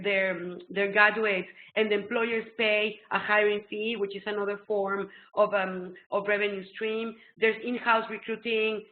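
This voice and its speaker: A middle-aged woman with a Mexican Spanish accent presents a webinar from a small room, her Spanish roots clear in her English. She explains eagerly, with plenty of ums. The sound is thin and phone-like, with a roomy echo. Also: mid-range voice. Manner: excitable, explanatory, full of ums. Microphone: laptop webcam video call. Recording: tinny phone-like echoey webcam call.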